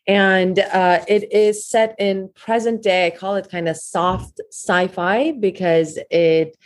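Interview guest, woman author, low voice, earnest and steady, lots of fillers. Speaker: author and meditation teacher.

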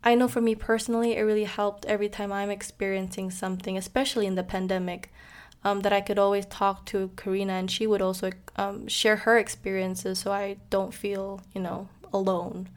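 A woman's voice: medium pitch, little pitch movement, a little fast. Young woman from Indonesia, casual co-host. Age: 20s. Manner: friendly, frequent fillers and light laughs. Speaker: podcast co-host. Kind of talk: casual chat.

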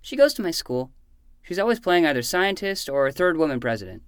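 Animated, self-important boy detective. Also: low voice, brisk, clean tone, no echo, studio quality. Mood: matter-of-fact. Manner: grandiose hardboiled detective talk slipping into childish pleading. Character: earnest twelve-year-old boy playing a self-important noir detective. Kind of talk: fiction podcast acting with noir narration.

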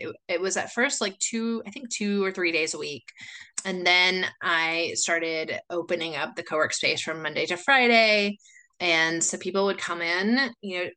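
A chatty woman from the United States hosts a solo podcast episode. Her voice sits fairly low but sounds bright and forceful. She wanders through her thoughts, leaning on plenty of "like" and "you know.". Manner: chatty, rambling, full of "like" and "you know" fillers. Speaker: podcast host. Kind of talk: casual solo podcast talk.

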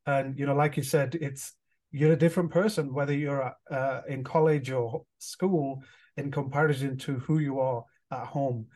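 A man's voice: medium pitch, steady tone, normal speed, a little mellow. A man from England hosting a podcast, asking long, wandering questions full of "like" and "you know". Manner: rambling, searching questions with fillers and restarts. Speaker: podcast host. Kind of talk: casual interview.

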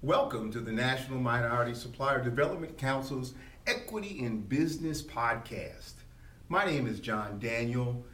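A man hosts a podcast interview with polite enthusiasm, addressing his guest respectfully, at a middle pitch. He is heard from a distance in a roomy, echoing space, with faint noise behind him. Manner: warm, enthusiastic, polite ("sir"). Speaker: podcast host. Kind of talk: interview hosting. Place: convention venue.

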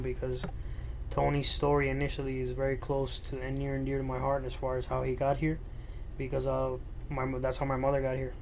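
A man's voice: mid-range voice, creaky, a little hiss or hum, rough recording. A young man from Miami whose first language is Spanish, telling a personal story alone in his bedroom in an earnest, rambling way. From Miami, United States. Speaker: podcast host. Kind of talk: solo personal storytelling monologue. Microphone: laptop microphone.

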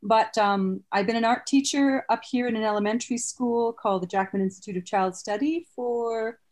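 A woman's voice: on the high side, moderate pace, a little mellow. A middle-aged woman from the East Coast, chatting casually and easily as an interview guest. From East Coast of North America. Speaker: guest, elementary art teacher.

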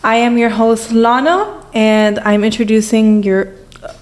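A woman's voice: on the high side, steady tone, measured pace. A woman in her twenties hosting a casual podcast in a bubbly, informal, teasing way. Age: 20s. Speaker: podcast host.

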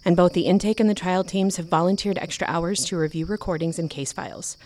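A woman acting in an audio drama as a caring, steady advocate and old friend. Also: on the low side, brisk, natural voice. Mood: reassuring.

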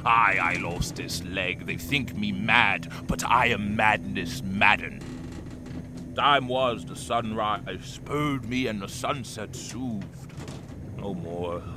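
An actor playing a vengeful old one-legged whaling captain, grandiose and oratorical, in a theatrical audio drama. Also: male voice, fairly low pitch, takes it slowly, over music. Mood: bitter, anguished. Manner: grandiose, oratorical, brooding to thundering.

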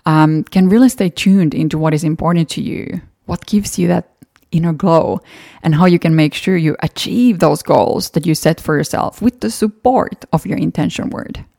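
Finnish-accented woman hosting her podcast, low mellow voice, earnest n encouraging. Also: normal speed, gravelly, no room sound, studio quality. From Finland. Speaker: podcast host. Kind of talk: solo podcast episode recap.

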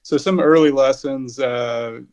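A man in his thirties, a pharmacist guest on a podcast, answering in a relaxed, rambling way with many fillers. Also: medium pitch, unhurried. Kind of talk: casual interview answers.

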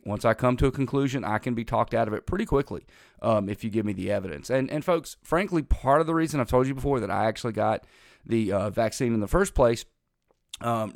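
Congested folksy talk host. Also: male voice, mid-range voice, dry recording, clean studio sound. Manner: rambling, folksy, addresses listeners as folks. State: congested from a lingering cold. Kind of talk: casual political talk monologue.

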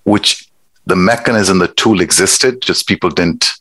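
Middle-aged man from Nepal, very low voice, dry sound; measured, thoughtful interview guest. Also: a bit bright, no echo. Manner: thoughtful, measured, with pauses and fillers. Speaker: guest, executive director. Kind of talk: conversational interview.

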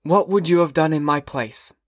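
A man voicing an old, sarcastic male history teacher who lectures slowly and pointedly. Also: fairly high pitch, no echo, clean studio sound, pitched above the performer's own voice, lightly acted.

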